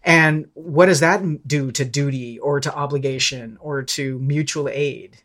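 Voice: medium pitch, measured pace, fairly bright tone. Thoughtful, hesitant podcast talker. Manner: hesitant, thinking aloud with self-corrections. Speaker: podcast discussion participant. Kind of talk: casual reflective discussion.

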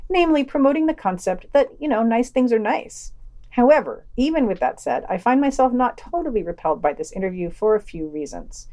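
Female podcast host, soft dark timbre, scripted read with wry, opinionated, casual asides. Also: medium pitch. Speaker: podcast host reading news reviews.

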